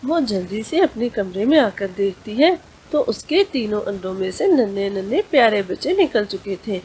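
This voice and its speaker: A woman's voice: mid-range voice, big pitch swings, moderate pace, darkish tone, slight background noise, poor audio. A woman narrating a scripted children's story.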